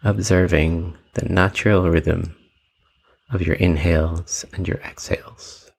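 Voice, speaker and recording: A man leading a guided meditation with calm, measured instructions and long pauses between phrases. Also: low-pitched, vocal fry, husky.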